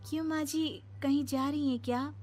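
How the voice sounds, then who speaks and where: A woman's voice: sits high, fairly even intonation, moderate pace, a little mellow, faint music behind, pitched above the performer's own voice, lightly acted. A woman voicing a dutiful, polite young daughter-in-law in a family cartoon. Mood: puzzled. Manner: polite, deferential.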